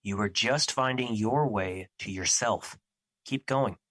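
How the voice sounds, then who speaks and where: Man acting Fear, an earnest, bright-voiced guardian. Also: on the low side, dry recording, studio quality. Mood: reassuring. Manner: earnest, formal, measured phrasing. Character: Fear, earnest protective personified emotion, male, steadfast guardian. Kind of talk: audio drama acting.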